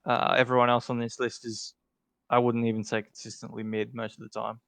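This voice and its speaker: Guy on a podcast thinking out loud, lots of ums, smooth but gravelly voice, dry close sound. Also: mid-range voice, completely flat delivery, a little fast, no echo. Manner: thinking aloud, hesitant, lots of fillers and restarts. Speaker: podcast co-host ranking game alliances. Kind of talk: casual podcast chat.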